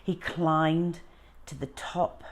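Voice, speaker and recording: A woman reading a children's story aloud, moving between informal chat and expressive narration as a storytime host. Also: low-pitched, slow pace, creaky.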